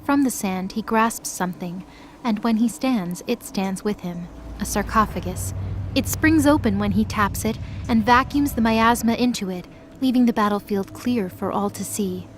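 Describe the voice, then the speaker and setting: A woman's voice: medium pitch, moderate pace, a little hiss or hum. A woman giving scripted, dramatic narration as the storyteller of an audio drama.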